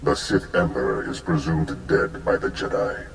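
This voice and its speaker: An actor playing an imperious alien crime-empire archon in a video game, speaking in a formal, pompous and menacing manner. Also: male voice, extremely low pitch, sing-song, creaky, a little hiss or hum, heavily acted. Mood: cold, menacing. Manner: pompous, deliberate. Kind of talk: video game cutscene acting. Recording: echoey, music underneath.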